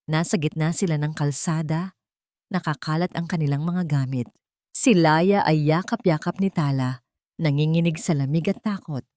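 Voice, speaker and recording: A woman with a low-pitched voice narrates a dramatic love story. Her phrasing is measured and theatrical, and the recording is close and dry. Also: darkish tone, no echo.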